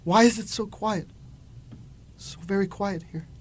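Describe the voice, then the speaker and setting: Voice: medium pitch, unhurried, natural voice. A young adult performer playing an old repentant miser in a radio drama, theatrical and stammering. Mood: fearful, mournful.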